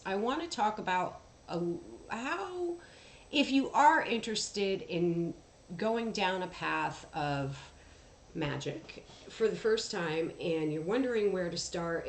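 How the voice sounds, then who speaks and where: A woman chatting casually on a livestream, congested from allergies, rambling with many ums and throat clears, recorded through a headphone mic across the room at home. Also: lowish voice. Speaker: livestream host. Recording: echoey room, faint background noise.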